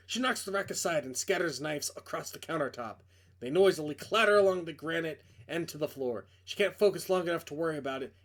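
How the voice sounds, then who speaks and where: A man reading a visual novel aloud as narrator, in a dramatic scripted storytelling style. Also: a little high.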